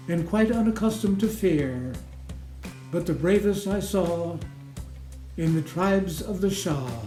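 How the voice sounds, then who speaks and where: A man giving a theatrical recitation of a comic rhyming ballad in a measured, sing-song rhythm. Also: a little high, darkish tone. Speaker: poetry reader. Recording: roomy echo, music underneath. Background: music.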